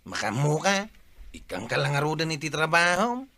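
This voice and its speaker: A man's voice: a little high, sing-song, moderate pace, bright, forceful tone, exaggerated voice. A man playing an exasperated, chiding villager in an Ilocano-accented comedy radio drama. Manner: chiding, questioning.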